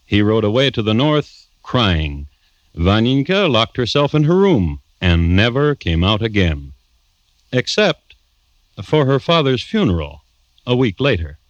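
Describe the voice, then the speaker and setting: An actor playing a wry, macabre crime-history host, narrating a 1950s radio drama deliberately and deadpan, with dramatic pauses. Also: male voice, medium pitch, dry recording. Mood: wry, somber. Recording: dull, dry vintage radio recording.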